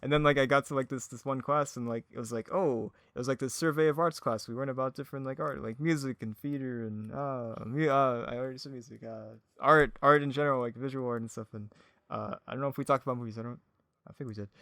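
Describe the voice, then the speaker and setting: A man's voice: medium pitch, fairly even intonation, fairly bright tone, no echo. Rambling man chatting casually, dry close sound. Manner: rambling, halting, self-effacing. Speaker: podcast guest, audio drama creator. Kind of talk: casual chat.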